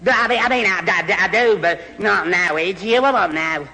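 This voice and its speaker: An actor playing a gormless, nervous young boyfriend in a radio sitcom farce, stammering and bumbling. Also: male voice, sits high, normal speed, pushed and bright. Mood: flustered. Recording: noisy old radio recording. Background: studio audience.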